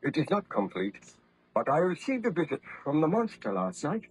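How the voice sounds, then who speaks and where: An actor playing an anguished, horrified young scientist baron in impassioned, theatrical 1930s radio drama style. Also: male voice, on the high side, wildly swooping pitch, normal speed, husky, full character voice. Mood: haunted, resolute. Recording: dull lo-fi old radio recording.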